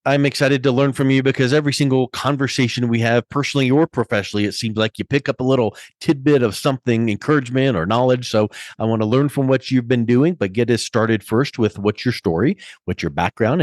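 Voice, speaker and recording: A man's voice: medium pitch, fast, hardly any pauses, dry recording, studio quality. A man from Virginia hosting a podcast interview, upbeat and chatty, often repeating words. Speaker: podcast host.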